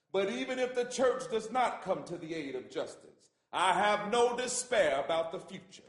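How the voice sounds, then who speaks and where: A man reads aloud in an oratorical style, his voice set unusually high. The delivery is solemn, measured and impassioned, and the room adds a clear echo. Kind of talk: oratorical reading of a famous letter. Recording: echoey room.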